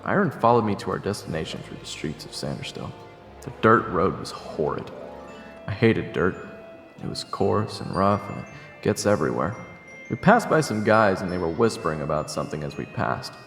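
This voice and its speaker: A man playing a sarcastic young traveler who narrates his own adventure in a wry, expressive, snarky way. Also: low voice, moderate pace, vocal fry, full character voice. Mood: wry narration. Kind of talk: first-person dramatic narration and dialogue. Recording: roomy echo with long reverb, music behind.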